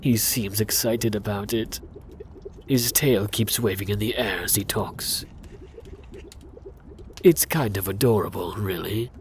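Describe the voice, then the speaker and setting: A man narrating a scripted second-person fantasy story for ASMR in a hushed, intimate, dramatic way. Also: lowish voice, a little slow, long pauses, a little hiss or hum.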